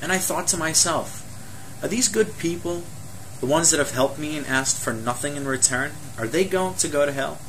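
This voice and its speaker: A young man from Egypt giving a personal testimony in an earnest, measured way, with many rhetorical questions. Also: fairly high pitch, fairly bright tone, slight background noise, poor audio.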